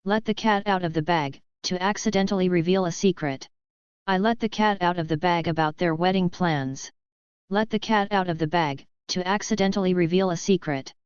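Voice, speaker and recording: A woman slowly reading English idioms with their meanings and example sentences, repeating each one. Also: lowish voice, smooth, dry recording. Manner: reads each line plainly and repeats it. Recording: dull, slightly lo-fi voice track.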